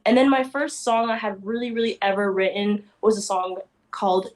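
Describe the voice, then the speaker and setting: A woman's voice: mid-range voice, steady tone, normal speed. A young woman, a guest on a radio show, rambling in a bubbly way with many "like" fillers. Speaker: DJ duo member, guest. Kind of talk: casual interview chat.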